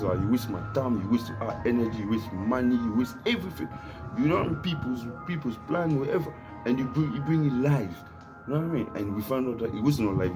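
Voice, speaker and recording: A man from Africa vlogging in a rambling monologue, repeating himself and often asking if you know what he means, smoking while he talks. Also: on the low side. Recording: noisy background hiss.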